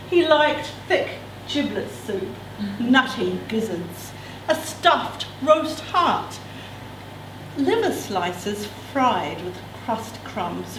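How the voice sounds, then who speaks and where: Female reader, expressive literary delivery with informal asides, higher register, roomy distant sound. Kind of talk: reading a novel extract aloud with casual asides. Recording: echoey room, distant mic, background noise.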